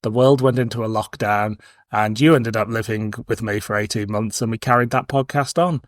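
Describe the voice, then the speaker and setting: A man's voice: lowish voice, steady tone, a little fast, no echo, clean studio sound. A man in his forties hosting a podcast in casual banter, with a wry, playful, teasing manner. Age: 40s. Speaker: podcast host.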